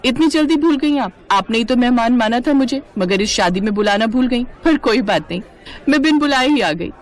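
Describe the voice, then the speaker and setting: A woman's voice: fairly high pitch, expressive, moderate pace, pitched above the performer's own voice, natural voice. A woman voicing a sweet, plucky young Indian daughter-in-law in a cartoon drama, pleading yet cheeky. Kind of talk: Hindi cartoon family drama acting.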